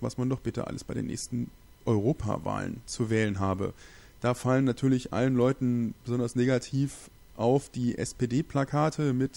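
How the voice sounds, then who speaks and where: A man with a gravelly, creaky voice and a Berlin accent hosts a local community podcast. He talks in a loose, rambling way, often restarting his sentences. His pitch sits in the middle range. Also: mellow. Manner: chatty, rambling with restarts and fillers. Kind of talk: casual spoken local news podcast.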